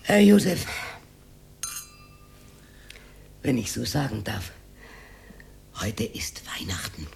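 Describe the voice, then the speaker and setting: A woman's voice: very deep voice, sing-song, unhurried, vocal fry, darkish tone, a little hiss or hum, full character voice. An actress playing a talkative older Austrian working-class widow who confides her memories in a radio drama, rambling and dropping into whispers. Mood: aggrieved, confiding. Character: talkative old working-class widow reminiscing about her hard wartime life. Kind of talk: radio drama monologue, naturalistic acting.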